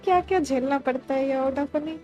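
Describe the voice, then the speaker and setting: High-voiced Indian woman streaming games. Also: very fast, darkish tone, muffled recording, faint music behind. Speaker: game streamer. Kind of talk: casual livestream commentary and read-along.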